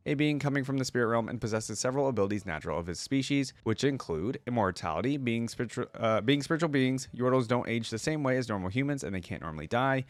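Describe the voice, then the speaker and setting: A man hosting a reaction video, chatting casually, reading aloud and thinking out loud with asides to viewers. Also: mid-range voice, expressive, fast talker, few pauses, dry recording.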